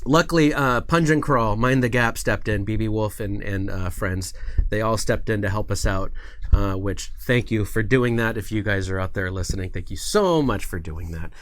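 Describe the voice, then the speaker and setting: A man's voice: on the low side, normal speed, no room sound. A man with a cold hosting a livestream in a casual, hesitant, rambling chat style. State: sick with a cold. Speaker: livestream host.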